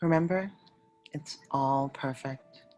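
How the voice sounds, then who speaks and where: A woman with a low-pitched voice leads a guided meditation over faint music. She speaks as a yoga nidra teacher, giving soothing instructions in short, unhurried phrases and leaving pauses between them. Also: warm tone.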